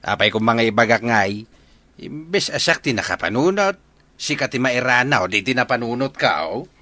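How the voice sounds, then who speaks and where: A man's voice: mid-range voice, expressive, moderate pace, pushed and bright, no room sound. An actor playing a chatty, teasing village father with a Filipino accent in a radio comedy-drama.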